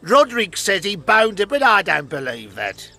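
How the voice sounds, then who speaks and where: Sharp, gravelly, brutish soldier man. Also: high voice, sing-song, moderate pace, full character voice. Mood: accusing, menacing. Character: brutish, menacing soldier, foul-mouthed bully. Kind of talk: video game voice acting.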